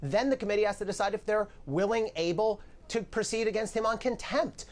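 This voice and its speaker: A man's voice: sits high, a little fast. A man giving live legal analysis on a news show, explaining step by step with emphasis.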